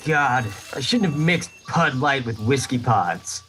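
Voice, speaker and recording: An actor playing a hungover, cranky young float racer with a husky voice and exasperated, whiny delivery in a comic radio drama. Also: medium pitch, animated, measured pace, fairly bright tone, soft background music. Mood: groggy, grumpy.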